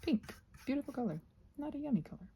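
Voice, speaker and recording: A woman with a soft, breathy voice, often close to a whisper, musing aloud in a playful, whimsical ASMR ramble as she crafts. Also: high voice, very animated, fast, a little hiss or hum.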